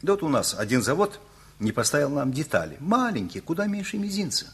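Dreamy middle-aged engineer uncle in a radio play, very husky, higher side, rambles earnestly. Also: male voice, very animated, fairly quick, fairly bright tone, heavily acted. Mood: flustered complaining. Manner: rambling, musing, emphatic. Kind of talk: Russian radio comedy play acting.

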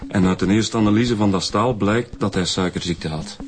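A man's voice: on the low side, normal speed, faint music behind, close to an everyday voice. An actor in a radio drama playing an easygoing male police inspector with an informal, hesitant way of talking. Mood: calm, matter-of-fact.

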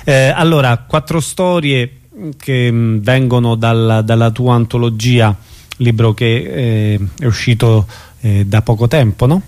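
A man's voice: mid-range voice, a little slow, a little mellow. A man hosting a radio interview, asking questions conversationally with hesitant, searching phrasing.

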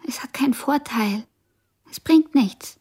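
A woman's voice: high voice, a little slow, darkish tone, slightly rough. A woman acting a stubborn, sensitive young daughter in a German radio drama, plain-spoken and defiant.

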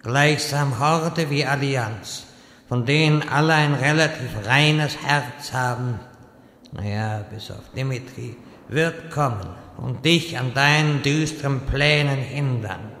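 A man playing a solemn but politely chatty captive wizard in a comic fantasy audio drama, with measured deadpan delivery. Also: medium pitch. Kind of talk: German audio drama parody. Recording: echoey hall reverb, faint noise.

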